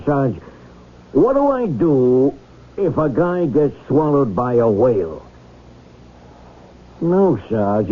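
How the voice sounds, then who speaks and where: An actor playing a slow-witted, flustered police officer with hesitant, folksy, uneducated diction in a 1970s radio drama. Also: male voice, fairly high pitch, heavily acted. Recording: thin, phone-like 1970s radio recording.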